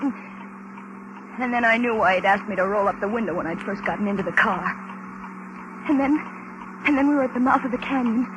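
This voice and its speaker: An actress playing a frightened young woman held captive, nervous and halting, in a 1940s radio drama. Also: high-pitched, close to an everyday voice. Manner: nervous, stammering, pleading. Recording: noisy old radio recording.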